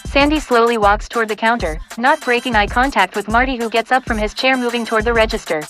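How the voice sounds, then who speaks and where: Higher-pitched woman narrating an audio play. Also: quick pace, a bit bright, soft background music. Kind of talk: scripted screenplay narration, reading stage directions.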